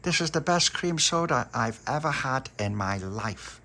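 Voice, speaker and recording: A man voicing an outspoken, curious little girl in a high, earnest voice. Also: expressive, unhurried, pushed and bright, slight background noise.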